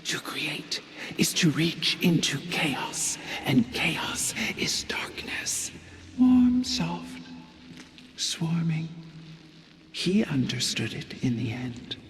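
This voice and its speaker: A woman voicing an ominous, seductive muse in a horror game, breathy and whispering. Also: low-pitched, animated, extremely slow, exaggerated voice. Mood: ominous, alluring. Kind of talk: slow horror game narration. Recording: long reverb, faint music behind.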